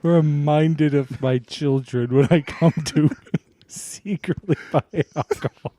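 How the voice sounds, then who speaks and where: Mellow, higher-pitched man, deadpan banter. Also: takes it slowly, dry recording. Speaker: podcast co-host. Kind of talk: casual comic banter.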